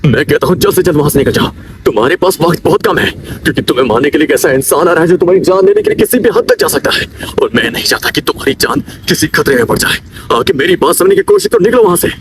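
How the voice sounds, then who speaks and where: A worried male ally played by a man speaks in fast, insistent film-dub style, full of urgency. The mic sounds distant and there is heavy traffic noise. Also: a little high, animated, close to an everyday voice. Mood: urgent warning. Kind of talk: anime or film dubbing acting. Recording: noisy outdoor recording, distant mic, traffic.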